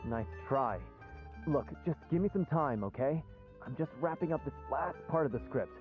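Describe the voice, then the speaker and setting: A man voices a stressed, evasive playwright whose lively voice comes through thin and tinny, as if muffled behind a door. He brushes visitors off in a hurried way. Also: a little high, faint music behind, close to an everyday voice. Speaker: game character. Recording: muffled, phone-like tinny sound.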